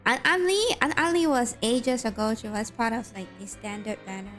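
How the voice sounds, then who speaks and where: A woman's voice: fairly high pitch, normal speed, a bit bright, soft background music. A young woman with a Malaysian accent, streaming a game and chatting casually with her viewers while reading game text aloud.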